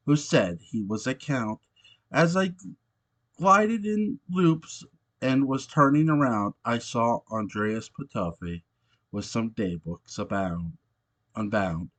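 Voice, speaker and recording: A man reads rhyming holiday verse aloud with a storyteller's sense of drama. His pace is slow and deliberate, while his pitch moves around a great deal from phrase to phrase. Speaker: host of a fan channel reading aloud. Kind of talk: theatrical holiday verse read-along.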